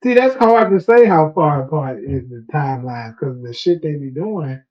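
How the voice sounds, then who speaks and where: A man co-hosting a casual TV show discussion, relaxed and quick to laugh, reading out viewer comments. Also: on the high side, highs cut off. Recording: faint music behind.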